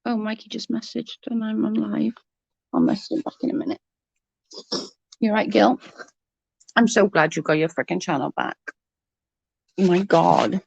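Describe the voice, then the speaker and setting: A woman's voice: medium pitch, steady tone, moderate pace, vocal fry, long pauses, darkish tone, slightly rough, dry recording, muffled recording. Congested woman chatting on a livestream. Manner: chatty, greets viewers by name. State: congested, coughing, voice going. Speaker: livestream host. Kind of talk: casual chat with live viewers.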